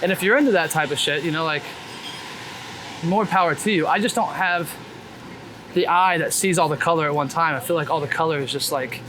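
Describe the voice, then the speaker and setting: Higher-voiced candid man on a noisy street. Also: fairly quick, a bit bright. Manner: candid, heavy swearing, slangy. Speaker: guest, street photographer and former BMX vlogger. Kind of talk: casual chat while walking. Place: outdoors on a city street. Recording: noisy city street with traffic. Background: clear traffic and street noise.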